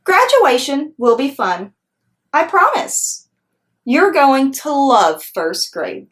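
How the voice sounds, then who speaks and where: A woman voicing a gentle, reassuring mother who soothes and encourages her young daughter in a children's storybook. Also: high-pitched, sing-song, takes it slowly, voice pushed up, full character voice. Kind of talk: children's storybook read-aloud.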